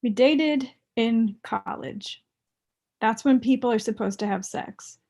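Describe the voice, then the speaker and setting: A woman plays a prickly, resentful ex in a comedy table read. Her voice is fairly high, and her delivery is blunt and deadpan, always pressing for answers. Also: slow pace. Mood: blunt, wounded.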